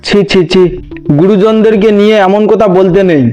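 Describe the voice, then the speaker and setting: A man voicing a mild young newlywed husband who gently admonishes, in a Bengali cartoon. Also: high voice, fairly even intonation, moderate pace, lightly acted. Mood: gently reproving. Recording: dull lo-fi audio with music.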